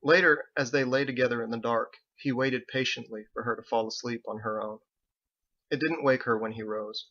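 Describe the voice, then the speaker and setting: A man's voice: mid-range voice, steady tone, moderate pace, bright, forceful tone, muffled recording. A man reading scripted audiobook narration, an author telling his own story.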